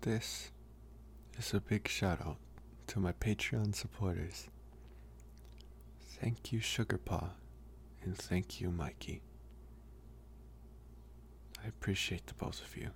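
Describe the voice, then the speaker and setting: A man gives a sign-off as an ASMR performer. His voice is deep and gravelly, and he takes his time, with a little noise in the recording. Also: takes it slowly, pauses often. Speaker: ASMR voice performer thanking supporters. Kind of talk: channel sign-off.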